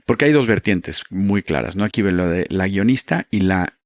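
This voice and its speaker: A man's voice: low voice, animated, no room sound. A man hosting a podcast, asking questions in a friendly, playful way. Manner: friendly, playful asides and quick acknowledgements. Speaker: podcast host. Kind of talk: casual interview questions.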